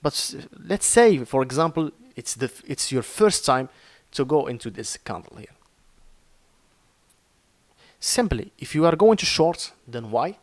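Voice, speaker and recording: A man from Morocco speaks in a Moroccan-accented, mid-pitched voice, teaching trading in an earnest, halting way. He searches for words and restarts often, filling gaps with "uh". The recording is close and dry, with no room sound. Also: very animated, dry recording. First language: Arabic. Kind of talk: informal screen-share lesson.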